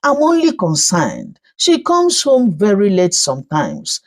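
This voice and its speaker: Woman narrator as storybook neighbour mother, careful, courteous, concerned, husky, expressive pitch. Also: unhurried, heavily acted. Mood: pleading. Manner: polite, earnest, careful.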